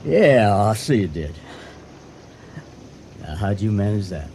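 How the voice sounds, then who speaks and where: An actor playing a sneering young crook with a folksy, slangy, taunting manner in an old-time radio drama. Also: male voice, fairly low pitch, sing-song, slow, a little mellow, a little hiss or hum, full character voice. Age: young adult.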